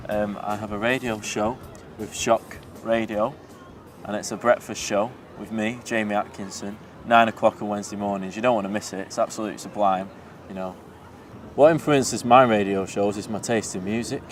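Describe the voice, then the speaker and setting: A young man with a fairly low voice, chatting in a loose, rambling way. He sounds a little distant from the mic. Faint music plays somewhere behind him outdoors. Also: steady tone, moderate pace. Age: young adult. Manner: easygoing, rambling, many fillers. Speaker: student radio presenter. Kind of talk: casual interview talk. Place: outdoors in a city.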